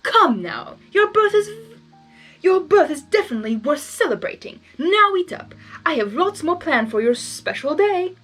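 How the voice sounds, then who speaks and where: A woman's voice: very high-pitched, sing-song, normal speed, fairly bright tone, soft background music, pitched above the performer's own voice, full character voice. A woman voicing a playful male chaos spirit in a fan fiction reading, theatrical and doting.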